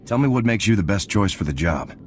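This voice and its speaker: A man playing a confident, steady squad commander in a video game, speaking directly. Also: on the low side, a little fast, slight background noise, close to an everyday voice. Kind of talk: scripted video game acting.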